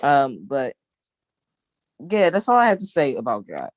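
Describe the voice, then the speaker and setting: A young man, president of a youth council, speaking casually on a video call in an easygoing, halting way. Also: mid-range voice, huge pitch swings, dry recording. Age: young adult. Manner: easygoing, halting, with many fillers and restarts.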